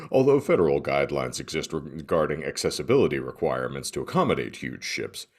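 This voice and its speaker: A man's voice: rumbling low, gravelly, heavily acted. A man voicing an aggrieved, self-pitying huge ship, earnest and melodramatic in a mock-serious dramatic reading.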